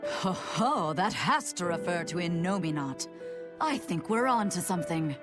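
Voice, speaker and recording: A woman's voice: lowish voice, lively intonation, normal speed, over music, close to an everyday voice. An actress playing an elderly, teasing woman scholar in a video game, musing theatrically over her words. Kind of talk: video game cutscene acting.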